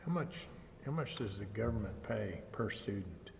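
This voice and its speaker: Lowish male voice, pointed questioning, public meeting, clear background noise. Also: expressive, moderate pace. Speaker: meeting participant questioning a presenter. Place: large indoor council chamber. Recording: echoey hall, noisy, off the main mic.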